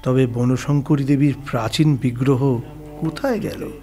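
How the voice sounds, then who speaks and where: A man's voice: mid-range voice, fairly even intonation, unhurried, natural voice. A man playing a pious, anxious priest in an audio story, puzzling over questions in a wondering delivery. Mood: puzzled, wondering. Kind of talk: dramatised audio story acting. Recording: studio recording with music. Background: instrumental music.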